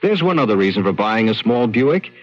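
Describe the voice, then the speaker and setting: A man's voice: on the low side, very animated, fairly quick. A man reading a scripted 1970s radio advertisement as a commercial announcer. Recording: muffled 1970s radio broadcast.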